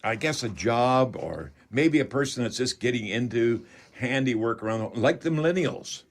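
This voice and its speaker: A man's voice: medium pitch, expressive, moderate pace. An older man with a Canadian accent hosts a home improvement radio call-in show in a chatty, folksy way, talking straight to his listeners.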